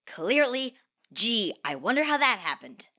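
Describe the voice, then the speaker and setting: Woman as a sharp, sarcastic teenage boy. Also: a little high, very animated, measured pace, full character voice. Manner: sarcastic, argumentative. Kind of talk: dramatised fanfiction reading.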